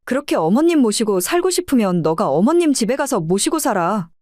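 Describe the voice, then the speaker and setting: Woman acting as a defiant, animated wife. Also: on the high side, a little fast, dry recording, studio quality. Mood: angry. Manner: blunt, confrontational. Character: defiant, hard-nosed middle-aged wife. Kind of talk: audio drama dialogue.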